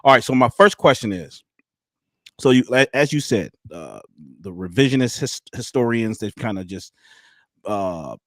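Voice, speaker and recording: A man's voice: medium pitch, animated, normal speed, fairly bright tone, dry recording, clean studio sound. Chatty man in his thirties hosting a stream. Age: 30s. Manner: chatty, loose, laughs easily. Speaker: livestream host. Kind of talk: casual live-stream interview.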